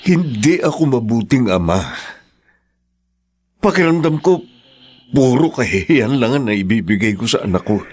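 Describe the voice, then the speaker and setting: A middle-aged father fresh from prison, guilt-ridden and short-tempered. His mid-pitched voice moves widely as he broods or scolds, in the heightened style of radio drama. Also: expressive, a little slow, long pauses, a little mellow, breathy edge. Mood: remorseful, troubled.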